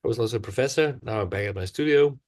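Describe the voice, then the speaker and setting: A man's voice: medium pitch, racing, a little mellow. A man of fifty speaking casually and candidly on a video call, effusive as he gives personal feedback as a practitioner and teacher. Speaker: studio practitioner and part-time teacher. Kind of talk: casual, personal comment in an online discussion.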